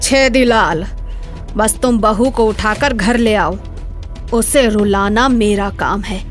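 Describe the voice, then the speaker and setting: A woman voicing a cruel, scheming stepmother in an animated Hindi moral story, sneering and bossy. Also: mid-range voice, slow, soft background music, close to an everyday voice. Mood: plotting. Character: cruel, spiteful stepmother and mother-in-law, scornful schemer.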